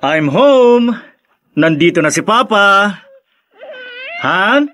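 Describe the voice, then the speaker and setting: A man's voice: high voice, very animated, drawn-out words, pushed and bright, a little hiss or hum, heavily acted. An actor with a Filipino accent playing a playful, sheepish young husband and new father in a radio drama, cajoling and hesitant. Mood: playful, affectionate.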